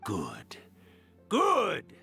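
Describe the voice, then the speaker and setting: A male actor playing a mischievous old yokai spirit with a gravelly, theatrical delivery in a game. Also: a little high, wildly swooping pitch, pushed and bright, faint music behind, exaggerated voice. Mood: menacing. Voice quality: creaky, gravelly. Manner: theatrical, slow drawn-out phrasing with cackles.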